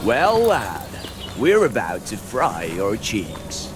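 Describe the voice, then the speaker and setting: A cruel, gloating guard with a lowish voice and huge swings of pitch, in a game scene with clear background noise. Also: male voice, huge pitch swings, takes it slowly, full character voice. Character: gloating, cruel male town guard torturer. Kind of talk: video game voice acting.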